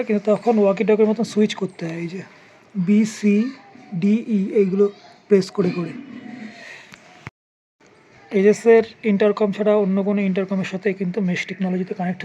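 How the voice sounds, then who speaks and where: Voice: mid-range voice, dark tone. A vlogger giving a casual hands-on product review, explaining things informally and offering practical advice to the viewer. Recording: clearly noisy ambient background.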